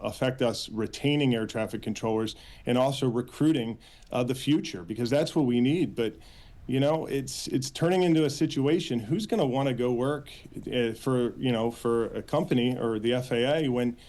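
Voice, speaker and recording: A man with an American accent answering as a union spokesman in a news interview, earnest, with halting phrasing and repeated words. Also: mid-range voice, darkish tone. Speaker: union official, interview guest.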